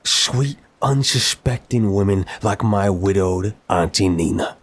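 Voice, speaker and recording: A man's voice: on the low side, a little slow, slightly rough. A man acting as an apologetic, charming mafia boss in a scripted audio roleplay, talking in wry, conversational gangster slang. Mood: wry, explaining.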